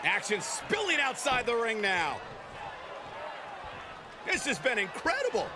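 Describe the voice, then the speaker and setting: A man playing an excitable, emphatic wrestling play-by-play announcer in a video game, projecting his commentary. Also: very high-pitched, a little slow, full character voice. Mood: excited. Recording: loud arena crowd noise behind voice. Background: arena crowd and ring thuds.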